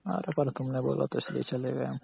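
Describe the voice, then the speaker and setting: A man of middling pitch speaks in a mellow, gravelly and husky voice. He chats casually and intimately with a partner on the phone, coaxing and reassuring as he goes. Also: flat delivery, brisk. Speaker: romantic partner on a phone call.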